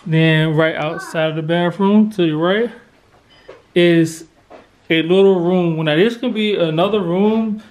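High-voiced American man vlogging casually. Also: a little slow, poor audio. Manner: informal, chatty. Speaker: family vlogger. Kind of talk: casual house tour narration. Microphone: handheld vlog camera at arm's length. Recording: handheld camera with clear background noise.

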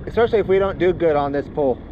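Guy chatting on a little boat, slightly high voice, muffled cam mic, heavy wind noise. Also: animated, rapid-fire. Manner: chatty, explains crabbing as he works. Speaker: fishing channel host. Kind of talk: casual on-the-boat commentary. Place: small open boat on a bay, outdoors. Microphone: head-mounted action camera.

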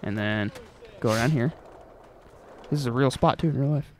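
A man's voice: medium pitch, moderate pace, slight background noise. A young adult man hosting a gaming video, chatting casually over gameplay in slangy, informal language with some swearing.

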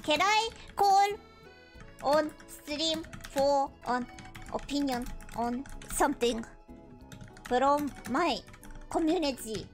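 A woman's voice: high voice, slow pace, pauses often, soft background music. A woman livestreamer with a childlike, cutesy voice, chatting casually and playfully with her viewers and prone to squealing.

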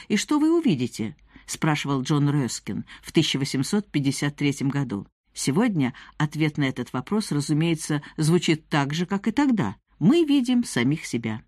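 A woman narrating a nonfiction audiobook, reading from a script with measured, articulate phrasing. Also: deep voice, a little mellow, no room sound, clean studio sound.

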